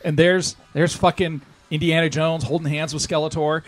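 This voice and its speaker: A man's voice: on the high side, flat delivery, normal speed. A man on a casual podcast telling a profane, rambling joke story. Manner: profane, rambling, builds up jokes. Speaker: podcast co-host. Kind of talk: casual chat, joking storytelling.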